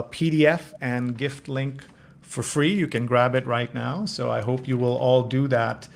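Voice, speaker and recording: Gravelly-voiced man hosting a live show. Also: medium pitch. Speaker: host. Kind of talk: casual live show presenting.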